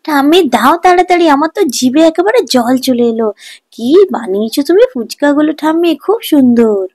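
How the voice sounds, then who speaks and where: Woman voicing an eager, high-pitched village boy. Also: lively intonation, brisk, a little mellow. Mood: excited. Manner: pleading, chatty, coaxing. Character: eager, affectionate young village grandson, just out of school. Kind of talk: animated children's folk tale acting.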